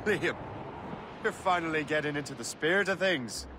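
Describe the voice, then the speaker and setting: A man's voice: on the high side, lively intonation, moderate pace, natural voice. Casting: male actor as brash young Irish assassin sailor, defiant and cheeky, game cutscene, noisy ambience behind. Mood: curious. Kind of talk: video game cutscene acting. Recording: clear sea and ambience noise behind.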